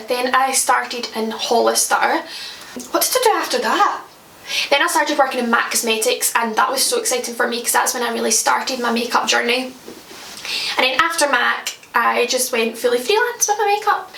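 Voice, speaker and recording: Chatty high-voiced Scottish woman vlogging. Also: gravelly, a bit bright, reverberant, distant mic, slight background noise, rough recording. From Scotland. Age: 20s. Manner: chatty, self-deprecating, laughs at her own slips. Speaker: makeup vlogger. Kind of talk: casual chat to camera. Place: at home, indoors.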